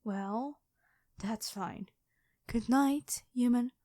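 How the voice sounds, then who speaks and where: Dragon queen for ASMR roleplay female performer: high-pitched, imperious and teasing, very unhurried, close dry sound. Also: dark tone, dry recording. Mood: smug, menacing. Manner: teasing, commanding, drawn-out delivery. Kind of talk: ASMR roleplay addressed to the listener.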